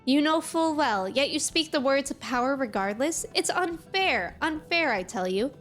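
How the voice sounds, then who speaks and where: A woman voicing a proud fae creature from a game, reading its dialogue in a formal, measured, old-fashioned way. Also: high-pitched, expressive, a bit bright, faint music behind. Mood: aggrieved.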